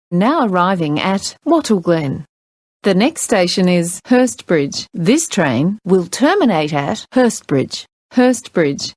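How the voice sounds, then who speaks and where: A woman making formal, measured, clearly enunciated scripted train announcements. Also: on the low side, no echo. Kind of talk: scripted public transport announcements.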